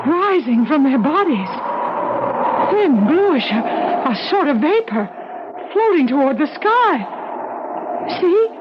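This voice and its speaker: A woman's voice: sits high, sing-song, slow pace, long pauses, muffled recording, very noisy, exaggerated voice. An actress in an old-time radio drama playing an alarmed, awed woman witness with a theatrical manner, on a vintage recording.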